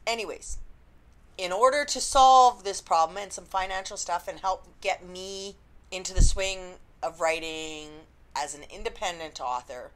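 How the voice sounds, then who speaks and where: A woman talks straight to camera in a loose, unscripted vlog, rambling with plenty of ums. Her voice sits a little low and carries a slight husky edge. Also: fairly bright tone. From Canada. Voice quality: slightly husky after illness. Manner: chatty, rambling, frequent ums. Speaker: indie romance author.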